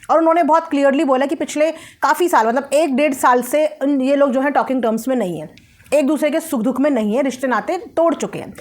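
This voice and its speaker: A woman hosts a commentary video. She speaks emphatically and with firm opinions, often repeating a phrase for weight and dropping English words into her talk. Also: high voice. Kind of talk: opinionated commentary on social media family drama.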